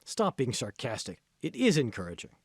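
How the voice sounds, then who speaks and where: An earnest mentor played by a man lectures with emphatic, instructive force. His pitch moves in lively swings, in a close, dry audiobook recording. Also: brisk, breathy edge, no room sound, exaggerated voice. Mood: earnest, encouraging.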